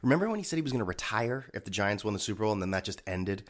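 A man's voice: fairly low pitch, animated, racing. A man hosting a solo podcast, talking in a sarcastic, rambling way with a slightly stuffed-up nose. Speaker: podcast host.

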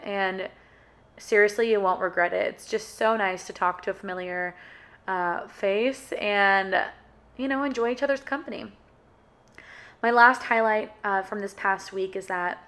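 A young woman with a sore throat and a slightly hoarse voice, hosting her podcast in a casual, friendly solo chat full of "uh" and "like". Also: mid-range voice, fairly bright tone. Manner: chatty and friendly, with many "uh" and "like" fillers. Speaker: podcast host.

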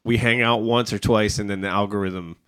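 A man's voice: fairly low pitch, fairly even intonation, a little fast, a bit bright, clean tone. A man hosting a casual podcast, laid-back and rambling, laughing often between his words. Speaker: podcast host.

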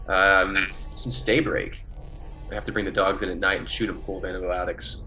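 An actor playing a conscientious, measured male biologist on a space colony in an old-time radio science-fiction drama. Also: deep voice, flat delivery, bright, forceful tone, faint music behind, low-quality recording. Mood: matter-of-fact.

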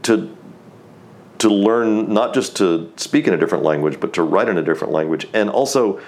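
A man interviewing an author, asking long, measured questions and reading quotations from the book aloud. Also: low-pitched. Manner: reads quotes aloud. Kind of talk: interview conversation.

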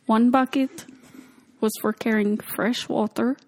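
A woman's voice: on the high side, steady tone, slow pace, darkish tone, poor audio. A woman hosting a casual chat, asking her guests questions and reacting briefly. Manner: asks questions, short reactions.

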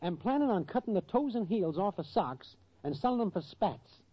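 Breezy, slangy schemer with animated delivery. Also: male voice, high-pitched, moderate pace, slightly rough, exaggerated voice. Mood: glib, defensive. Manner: slangy, glib. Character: shiftless streetwise schemer boyfriend, breezy and evasive. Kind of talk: 1940s radio sitcom acting. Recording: dull vintage 1940s radio recording.

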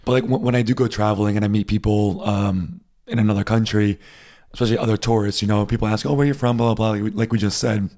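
Casting: American man, podcast co-host, low voice, rapid and loose delivery. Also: fairly even intonation, dark tone. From United States. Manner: quick, loose, repeats words as he talks. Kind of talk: casual chat.

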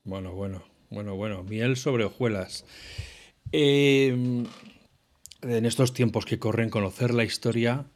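A man's voice: medium pitch, slow. A middle-aged man hosting a podcast interview, chatty and jokey, nudging his guest along. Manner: chatty, jokey, encouraging backchannels. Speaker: podcast host.

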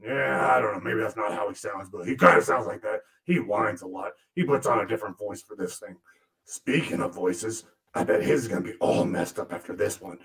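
A man's voice: fairly low pitch, a little fast, vocal fry, fairly bright tone. A man voicing a crude, sarcastic male demon puppet who grumbles and swears through a comic monologue.

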